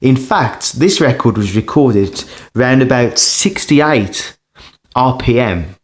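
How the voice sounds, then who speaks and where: A man giving a casual hands-on demonstration as a record collector, pausing and hesitating as he talks. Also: medium pitch, expressive. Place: indoors beside a turntable.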